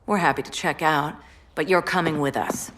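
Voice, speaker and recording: An actress playing a tough, loyal superhero fighter in a video game cutscene, firm and wry. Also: female voice, sits low, moderate pace, heavy background noise, lightly acted. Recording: voice under loud music.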